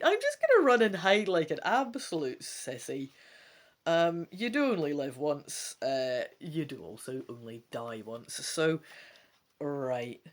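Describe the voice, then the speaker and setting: A woman hosting a gamebook let's play, switching between casual, chatty asides and dramatic reading aloud. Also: fairly low pitch. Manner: chatty, animated, self-questioning asides.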